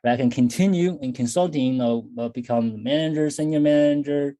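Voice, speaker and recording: A man's voice: mid-range voice, brisk, dark tone. A man in his thirties from China, speaking Chinese-accented English as an interview guest, thoughtful with many fillers and restarts. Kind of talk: casual interview talk.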